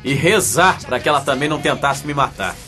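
A man's voice: mid-range voice, fairly quick, bright, forceful tone, faint music behind, lightly acted. An actor with a Brazilian accent playing a hapless, cajoling father in a colloquial comic radio soap. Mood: wry storytelling. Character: hapless, pleading young father narrating his own story.

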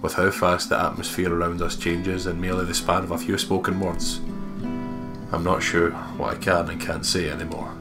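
Very deep-voiced man over music. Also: little pitch movement, normal speed. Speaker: let's play host reading aloud. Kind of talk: narration and casual game commentary. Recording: music behind the voice.

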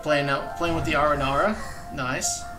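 A young adult man hosting a gaming reaction, talking casually and enthusiastically in halting phrases, close to the mic. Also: on the high side, steady tone, low-quality recording. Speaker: gaming channel host. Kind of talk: reaction commentary. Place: small indoor streaming room. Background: trailer music at times.

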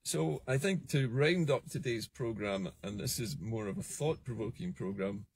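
A Scottish man in his fifties giving an earnest, measured political monologue to a phone camera from a parked car. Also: medium pitch, lively intonation, vocal fry, a little mellow, a little hiss or hum. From Scotland. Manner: earnest, measured, emphatic on key points. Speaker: political commentator. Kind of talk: solo political vlog monologue. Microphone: phone camera at arm's length.

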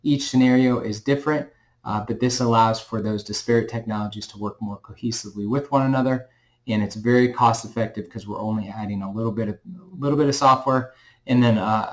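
A man's voice: lowish voice, fairly even intonation, fairly quick. Middle-aged man giving an informal product explainer. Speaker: company representative presenting a product. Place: small indoor room or office.

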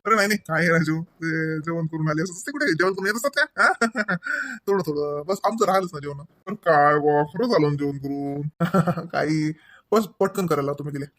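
A man's voice: fairly high pitch, normal speed, fairly bright tone, close to an everyday voice. A man voicing chatty male relatives in a family comedy cartoon, with colloquial small talk and a Varhadi Marathi accent from Vidarbha. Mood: chatty, polite.

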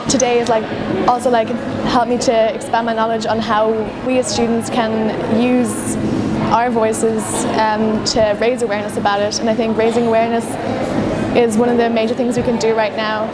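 An Irish schoolgirl speaks earnestly with a few fillers. Her voice is a little high and flat. Loud noise runs behind her. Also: moderate pace. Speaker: secondary school student.

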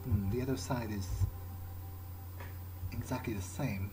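Male gameplay host. Medium pitch, improvised in-character thinking aloud, hesitant fragments, game music underneath. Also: measured pace, low-quality recording. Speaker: gaming video host playing in character.

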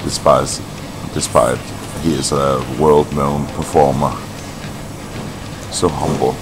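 A man in his thirties chatting casually in a shop, halting with many fillers, and slightly hoarse from a cough. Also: very deep voice, little pitch movement, over music. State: has a cough. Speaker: vlogger. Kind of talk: casual chat. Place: indoors in a large shop.

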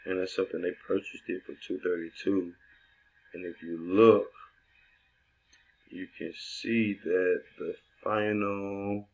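A man's voice: sits low, creaky, lo-fi sound. A man talking through a screen-recorded tutorial for his assistants, loose and conversational, thinking aloud with restarts. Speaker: real estate investor training assistants.

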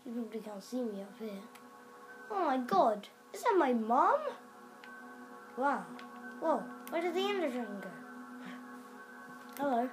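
Slow-talking high-pitched young gamer. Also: sing-song, poor audio. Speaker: gaming video maker. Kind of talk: improvised game role-play commentary. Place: indoors at home, in front of a television. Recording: camera filming a TV, game music.

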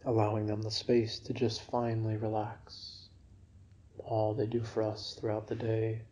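A man guiding a yoga nidra relaxation for sleep in a gentle, unhurried, soothing manner. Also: lowish voice, monotone. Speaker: meditation guide.

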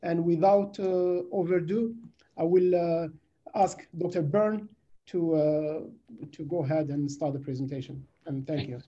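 A man formally introducing a speaker on a video call, reading out a biography haltingly and repeating phrases. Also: a little high, mellow. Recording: dull lo-fi video call audio.

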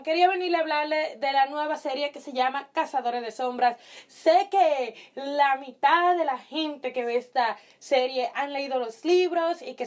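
A young woman from the Dominican Republic, raised in Italy, speaking Spanish with a mixed accent, chatting to camera in a small bedroom, often pausing to search for words. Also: high-pitched. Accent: Dominican Spanish with Italian influence. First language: Italian. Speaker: vlogger. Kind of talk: casual opinion chat to camera. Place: small bedroom with string lights.

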